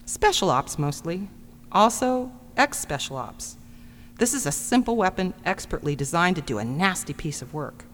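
A woman's voice: lowish voice, animated, normal speed, natural voice. A woman playing a wry, blunt, matter-of-fact medical examiner in an audio drama. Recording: long hall reverb, faint hum.

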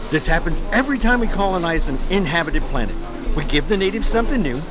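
An actor playing a cynical officer among planetary colonists in a science fiction radio drama. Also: male voice, fairly high pitch, animated, fast talker, music in the background, close to an everyday voice.

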